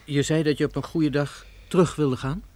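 An actor playing an earnest, worried questioner in a radio drama. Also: male voice, fairly high pitch, steady tone, normal speed, a little mellow, dry recording, close to an everyday voice. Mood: worried, questioning.

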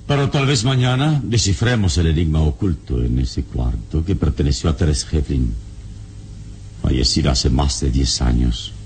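A man playing a serene, fearless, fatherly adventure hero in an old Latin American radio serial, speaking in a measured, reassuring way over dramatic music. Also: very deep voice. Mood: calm, deliberate. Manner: measured, calm, deliberate delivery.

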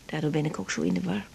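An anxious young woman in a radio drama, husky and very changeable in pitch. She is stubborn and upset, with a hesitant way of repeating her refusals. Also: darkish tone, full character voice. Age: young adult.

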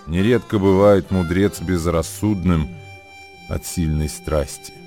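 A man playing a worldly sage who recites old wisdom verse for radio in a measured, declamatory way. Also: deep voice, steady tone, dark tone. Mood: knowing, rueful. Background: music.

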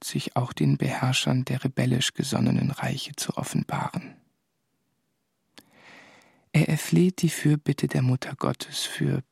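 A man playing a solemn, troubled man of faith in a literary radio drama, speaking in a hushed, brooding way with a hoarse, breathy voice. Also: mid-range voice, no echo, studio quality, exaggerated voice.

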